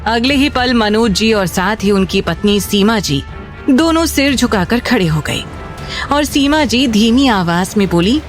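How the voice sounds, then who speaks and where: A woman's voice: a little high, lively intonation, unhurried. Woman narrating drama over faint music. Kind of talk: scripted dramatic story narration. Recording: music under the voice.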